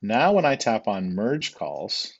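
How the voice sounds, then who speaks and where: A man giving an informal step-by-step software tutorial in a patient, explanatory, conversational way. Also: fairly low pitch, very animated, takes it slowly, gravelly, slightly rough, lo-fi sound.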